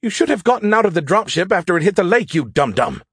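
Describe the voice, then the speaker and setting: A man voicing a sarcastic, exasperated male artificial intelligence in an audiobook, loud, mocking and incredulous. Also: mid-range voice, big pitch swings, moderate pace, no echo, studio quality, voice pushed up. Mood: exasperated, screeching. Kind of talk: audiobook character acting.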